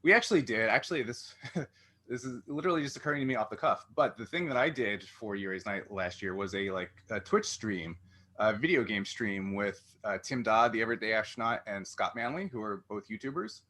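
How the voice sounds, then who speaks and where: A man's voice: lowish voice, fairly quick, pushed and bright. A man hosting a casual interview, rambling and thinking aloud as he goes, often cutting into his own sentences. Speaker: interviewer, show host.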